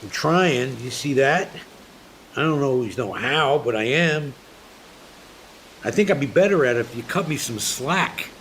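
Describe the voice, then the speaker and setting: An actor playing a stubborn, wounded, middle-aged joking dad in a naturalistic comedic radio play, plaintive and insistent. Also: male voice, mid-range voice, lively intonation, unhurried, pauses often, a bit bright, a little hiss or hum, rough recording. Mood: pleading.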